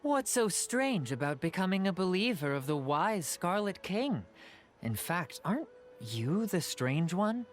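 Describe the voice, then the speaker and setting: Needling young man, swinging pitch. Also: big pitch swings, a little slow, faint music behind. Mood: accusing. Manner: pointed, needling. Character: scornful, accusing young man, a desert cult convert. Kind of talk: anime video game voice acting.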